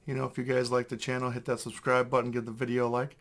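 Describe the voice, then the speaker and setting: A young man, hoarse from losing his voice, hosting a casual unboxing review with many fillers and throat clearing. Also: medium pitch, monotone, fast talker. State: hoarse, lost voice. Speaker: toy collector channel host.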